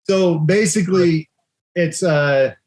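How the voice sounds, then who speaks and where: A man's voice: on the high side, takes it slowly, darkish tone. A man hosting a live currency trading room, explaining chart moves in a casual, joking way and laughing often.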